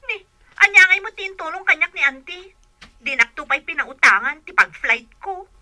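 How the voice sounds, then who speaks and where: A woman's voice: very high voice, lively intonation, normal speed, sharp tone, exaggerated voice. A woman playing an emotional, generous older sister working abroad in a radio drama, with an Ilocano accent. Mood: concerned.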